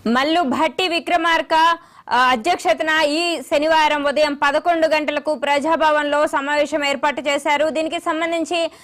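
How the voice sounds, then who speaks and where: A woman's voice: high voice, steady tone, normal speed, fairly bright tone. A woman news anchor reading scripted news in a formal bulletin style.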